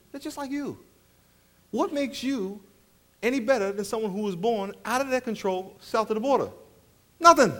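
A man preaching a sermon, telling comic travel stories, acting out voices and laughing along. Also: extremely high pitch. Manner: animated storyteller, acts out voices, laughs often. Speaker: pastor.

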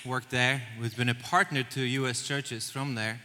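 Man, very bright voice. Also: mid-range voice, fairly even intonation, normal speed. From Poland. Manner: earnest, storytelling, with small restarts. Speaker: missions leader. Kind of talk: onstage interview in church. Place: large church auditorium. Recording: reverberant church hall.

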